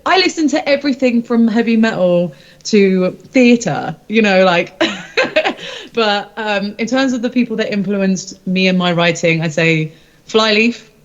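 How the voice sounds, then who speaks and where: Female musician, informal rambling interviewee, middle pitch, slightly dull lo-fi sound. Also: a little slow. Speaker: musician, singer-songwriter being interviewed. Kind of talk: casual interview chat.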